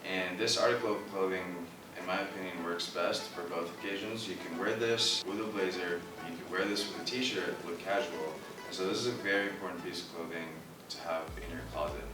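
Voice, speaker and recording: Young man from Syria, very deep voice, friendly advice-giver; roomy distant-mic sound with background music. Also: steady tone, fairly quick, hardly any pauses. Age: young adult. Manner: friendly, advising, addresses viewers as guys. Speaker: international student. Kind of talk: casual informational video.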